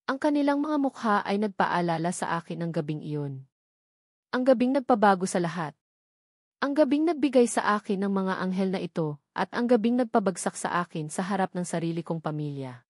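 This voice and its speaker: A woman's voice: mid-range voice, dry recording, studio quality. A woman with a Filipino accent narrates a scripted first-person romance story in an emotive way, setting short phrases apart for drama.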